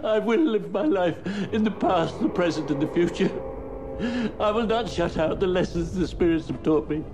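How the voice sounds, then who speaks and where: A man's voice: high voice, expressive, normal speed, background noise. A man playing an emotional old miser in a theatrical storybook drama. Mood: pleading.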